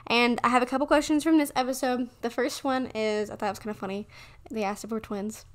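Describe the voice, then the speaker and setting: An eighteen-year-old woman hosting a faith podcast in casual chat, talking quickly with lots of "like" fillers. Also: a little high, fairly even intonation. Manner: quick, chatty, with many "like" fillers. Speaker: podcast host. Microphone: desk condenser mic.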